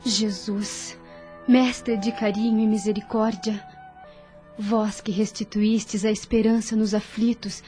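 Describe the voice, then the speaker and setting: Woman as mellow, devout young female carer. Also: medium pitch, flat delivery, slow, close to an everyday voice. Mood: prayerful. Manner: gentle, earnest, consoling. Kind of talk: audio drama acting. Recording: soft music behind voice.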